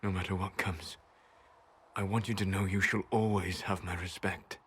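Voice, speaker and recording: An actor playing a solemn, respectful companion in a fantasy game, speaking gravely and formally. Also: male voice, sits low, steady tone, normal speed, fairly bright tone, slight background noise. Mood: solemn, regretful. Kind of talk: dramatic video game dialogue.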